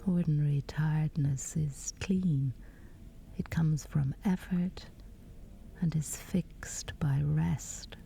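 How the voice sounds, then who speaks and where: A woman guiding a sleep meditation in a breathy, whispery voice, soothing and measured with long pauses. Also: sits low, fairly even intonation, warm tone, a little hiss or hum. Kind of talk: guided sleep meditation, scripted.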